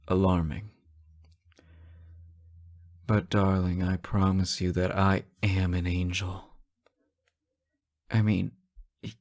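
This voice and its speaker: A man playing an anxious, devoted fallen angel in an emotional audio roleplay, speaking haltingly and pleadingly to the listener. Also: fairly low pitch, darkish tone. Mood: nervous, gentle, then tender.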